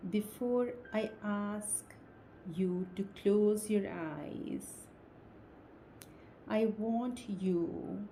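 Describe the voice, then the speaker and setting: A woman guides a relaxation session as a hypnotist. Her voice is mellow and relaxed, delivered extremely slowly in short, soothing phrases with long pauses. A faint layer of ambient noise sits behind her. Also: medium pitch. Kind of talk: slow guided meditation, hypnosis induction.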